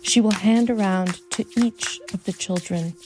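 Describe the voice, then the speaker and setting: A woman's voice: medium pitch, normal speed, rough recording. A woman reading a literary short story as scripted narration. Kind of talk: literary audio fiction narration. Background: music under the reading.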